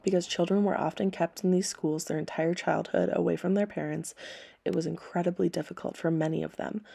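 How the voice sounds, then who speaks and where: Woman, read-aloud educational narrator, husky voice, bright tone, measured delivery. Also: on the low side, steady tone. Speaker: storybook reader and history presenter.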